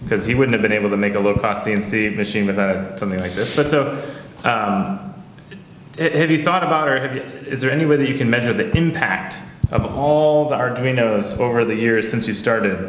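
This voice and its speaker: Casual male interviewer in an echoey room. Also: mid-range voice. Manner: friendly, halting questions with fillers. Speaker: host. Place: office studio with a roomy echo. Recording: echoey room with faint noise.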